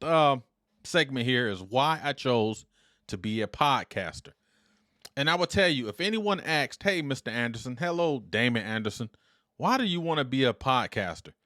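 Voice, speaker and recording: A middle-aged man hosting his own show with a big, confident voice. He talks in an upbeat, deliberate way and speaks straight into a close dynamic mic. The room adds nothing, so the sound stays dry and close. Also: lowish voice, fairly bright tone, dry recording. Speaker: podcast host. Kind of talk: solo podcast monologue. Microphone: dynamic studio mic.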